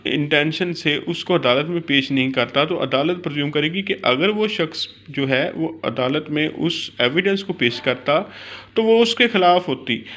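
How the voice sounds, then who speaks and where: Male law lecturer, Pakistani accent, fairly high voice, halting and repetitive delivery, drops English terms into explanations. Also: fairly bright tone.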